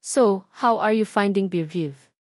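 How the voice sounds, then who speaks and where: A woman's voice: mid-range voice, measured pace, dry recording, studio quality, lightly acted. A woman voicing a commanding, mysterious male CEO in a formal, businesslike manner for an audio romance. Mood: cool, demanding.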